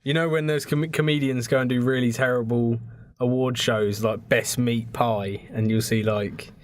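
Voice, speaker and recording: A man bantering and firing questions in a casual improvised comedy podcast chat, quick to laugh. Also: mid-range voice, steady tone. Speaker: podcast host. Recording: noticeable background noise, clicks.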